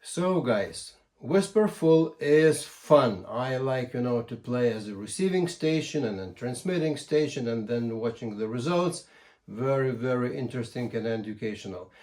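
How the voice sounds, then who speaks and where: A man giving casual instructional talk as a hobby video host, in a halting way with repeated words. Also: medium pitch, lively intonation, darkish tone. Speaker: amateur radio video host.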